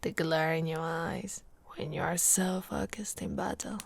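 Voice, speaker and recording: A woman's voice: sits low, fairly even intonation, measured pace, vocal fry, slight background noise. A woman from Italy voicing an affectionate, playfully boastful orc warrior wife in an intimate, teasing ASMR roleplay, often dropping to a whisper. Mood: nostalgic.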